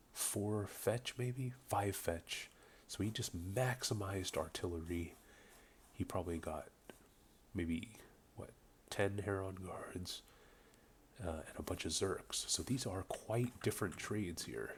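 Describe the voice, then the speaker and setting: A man with a voice slightly off from a cold, casually commentating a strategy game replay, relaxed and rambling with many ums. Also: low voice, slight background noise. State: getting over a cold. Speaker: game caster. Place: home room with a buzzing light.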